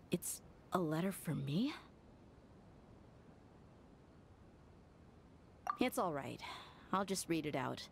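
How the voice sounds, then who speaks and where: A woman playing a tough, frank young female mercenary with a soft heart, plain-spoken in a video game. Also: low-pitched, very animated, a little slow, vocal fry, slight background noise, heavily acted. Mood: curious, casual.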